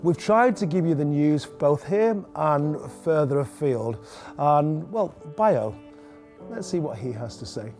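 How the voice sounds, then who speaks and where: A man's voice: on the high side, animated, takes it slowly, a little mellow, soft background music. A man with a Mancunian accent, hosting the show in a light, self-mocking way. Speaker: host. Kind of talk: scripted presenting.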